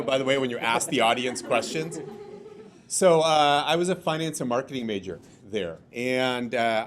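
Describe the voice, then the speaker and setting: Chicago suburbs guy on a live panel, cracking jokes to the crowd, mid-pitched, a bit of background noise. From Chicago south suburbs. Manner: joking and animated, plays to the audience. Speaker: franchise executive, panelist. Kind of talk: joking storytelling on a live podcast panel. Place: office podcast studio with live audience.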